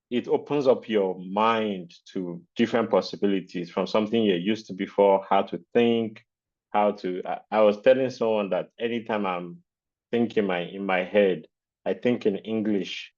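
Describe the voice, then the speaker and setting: A man with a Nigerian accent hosts a webinar over a video call, his speech halting with fillers and restarts. His voice sits fairly low, and the call audio is dull and lo-fi. English is not his first language; Yoruba is. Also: a little mellow. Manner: hesitant, many uh fillers and restarts, chuckles. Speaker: webinar host. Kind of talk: casual webinar chat.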